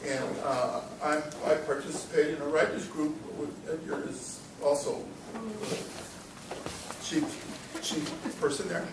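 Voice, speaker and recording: Man w/ high voice, hesitant visitor intro, roomy echo, sounds far away. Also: little pitch movement. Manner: hesitant, halting. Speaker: club meeting visitor introducing himself. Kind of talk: informal self-introduction. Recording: echoey room, distant mic, clear noise.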